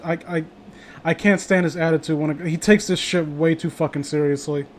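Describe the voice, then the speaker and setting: A man's voice: fairly high pitch, steady tone, brisk, a little hiss or hum. A man chatting casually as a host, rambling, blunt and opinionated, with frequent swearing. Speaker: host of a casual group chat.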